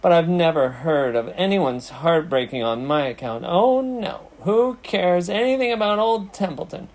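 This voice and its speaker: A man voicing a grumbling, sarcastic, self-pitying rat in an animated children's storybook reading, mocking and theatrically aggrieved. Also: a little high, a little slow, voice pushed up, rough recording. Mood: mocking, boastful.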